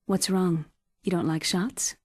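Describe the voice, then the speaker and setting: A woman's voice: lowish voice, steady tone, a little slow, husky, no echo, studio quality. An actress playing a composed, lightly teasing female military-unit medic in a video game cutscene, polite and poised.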